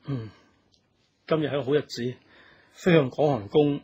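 An actor playing a devoted young hero in a radio drama, speaking earnestly and emotionally with a Hong Kong Cantonese accent. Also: male voice, medium pitch, moderate pace, mellow, breathy edge, lo-fi sound. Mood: solemn.

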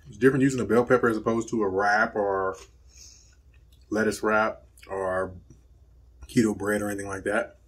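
Home cook host, thirties, lower pitch, easygoing filler-heavy delivery, seated at the camera. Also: male voice, moderate pace, pauses often, breathy edge, rough recording. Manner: relaxed, rambling, filler-heavy explaining. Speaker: cooking channel host. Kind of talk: casual cooking voice-over and taste test. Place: indoor home set with plain backdrop.

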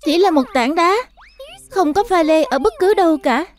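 A woman's voice: very high-pitched, expressive, normal speed. A woman voicing a small, eager but easily discouraged girl fox in a children's cartoon dub. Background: background music.

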